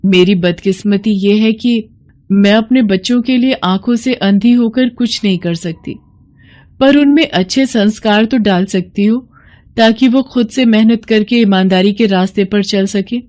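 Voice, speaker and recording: A woman's voice: mid-range voice, normal speed, darkish tone, pitched above the performer's own voice, natural voice. Lady doing poor blind mom in a cartoon moral story, humble, pleading, really heartfelt. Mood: humble, sincere. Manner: pleading, sincere, emotional. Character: poor blind young mother, honest, humble, earnest. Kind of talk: Hindi animated moral story acting.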